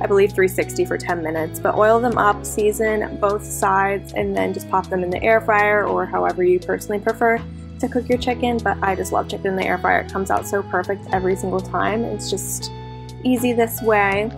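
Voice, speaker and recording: A woman's voice: mid-range voice, fairly even intonation, a little fast, gravelly. A young woman in her twenties chatting casually through a cooking vlog at home, giving upbeat step-by-step tips. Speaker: flight attendant vlogger. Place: home kitchen, indoors. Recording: guitar music playing underneath. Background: guitar music.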